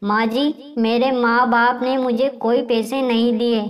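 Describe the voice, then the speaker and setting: A woman plays a humble young wife and mother bird in a cartoon fable. Her high-pitched voice is gentle and earnest, with a meek, pleading manner. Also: flat delivery, measured pace, natural voice. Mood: meek, worried. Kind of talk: Hindi moral-story cartoon dubbing.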